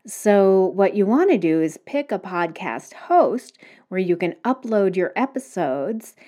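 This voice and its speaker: A woman's voice: mid-range voice, sing-song, measured pace, warm tone. A woman hosting her own podcast, explaining things step by step in a casual solo talk. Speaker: podcast host. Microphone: home microphone.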